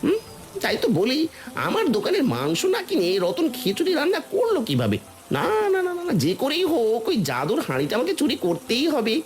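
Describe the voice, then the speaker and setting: A man voicing a scheming, greedy village butcher in a cartoon, an excitable husband who talks in agitated exclamations. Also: extremely high pitch, brisk, full character voice. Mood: excited, greedy. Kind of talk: cartoon voice acting.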